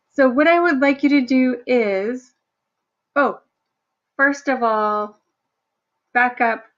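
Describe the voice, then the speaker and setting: A woman instructor talking through a screen-share workshop in a chatty, self-deprecating way, with a low, somewhat monotone voice. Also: muffled recording. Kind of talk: screen-share tutorial narration.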